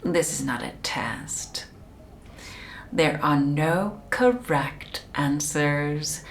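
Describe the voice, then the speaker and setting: ASMR roleplay: actress as calm, controlling female conditioning specialist. Low, soft, whispery voice, soothing clinical manner, faint noise behind. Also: heavily acted. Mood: measured, knowing. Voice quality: soft, breathy, often whispering. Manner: slow, deliberate, soothing, clinical.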